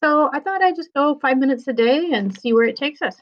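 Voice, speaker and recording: A woman's voice: sits high, fairly quick. A woman reading a children's story aloud expressively, as a school librarian would. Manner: expressive, acts out dialogue. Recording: dull lo-fi sound.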